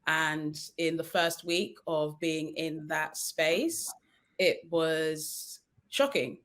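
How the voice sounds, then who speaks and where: A woman's voice: sits low, very slow, a bit bright. A young adult woman, born in Nigeria and raised in London, speaking as a guest in a panel discussion, telling a personal story in an earnest, reflective way. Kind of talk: casual discussion.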